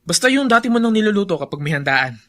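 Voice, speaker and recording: A man playing a cheerful, generous young hacienda owner in a radio drama, courteous and expressive, with a Filipino accent. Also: sits high, quick pace.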